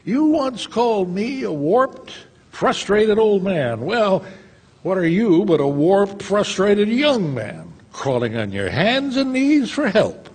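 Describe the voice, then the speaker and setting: An actor playing a cold, cruel old male banker, with a husky, sneering drawl. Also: sits high, big pitch swings, slow, a little mellow, full character voice. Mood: menacing. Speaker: radio actor. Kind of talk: live radio drama acting. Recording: theater stage with long reverb.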